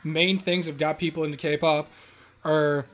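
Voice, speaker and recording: A man's voice: a little high, little pitch movement, measured pace. A man co-hosting a casual podcast, reading listener emails aloud with playful banter and small slips over names. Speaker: podcast co-host.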